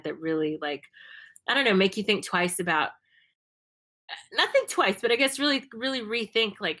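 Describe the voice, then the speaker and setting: Young woman from the US, slightly low voice, casual rambling chat, lots of um and like. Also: fairly bright tone. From United States. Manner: rambling, reflective, many fillers and restarts. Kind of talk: casual conversation.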